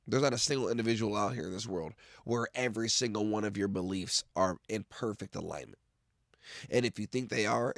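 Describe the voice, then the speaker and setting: Young man, raspy, gravelly vlog rant; dry close mic, profane and rambling. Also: lowish voice, no echo. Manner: loose, profane, rambling with restarts. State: raspy voice from the weather. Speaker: vlogger. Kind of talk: casual rant to camera.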